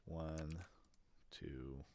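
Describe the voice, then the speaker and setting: Slow, low-voiced man thinking aloud. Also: fairly even intonation, dark tone, a little hiss or hum. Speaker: audio production demonstrator. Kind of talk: casual unscripted screen-recorded tutorial. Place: indoors at a computer.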